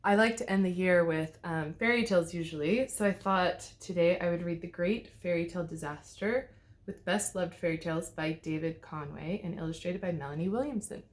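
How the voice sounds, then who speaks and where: A woman reading a children's storybook aloud as a teacher would, with expressive storytelling. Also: lowish voice, a little fast. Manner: expressive, clearly paced storytelling. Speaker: teacher reading to second graders. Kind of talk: storybook read-aloud narration.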